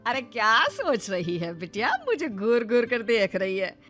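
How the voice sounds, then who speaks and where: Lady doing a greedy old saas, fawning n dramatic, slow talker, highish voice, cartoon story w music. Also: sing-song, a bit bright. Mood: wheedling. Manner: fawning, melodramatic. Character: greedy, wheedling older mother-in-law dreaming of free flights. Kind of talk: animated Hindi moral story acting.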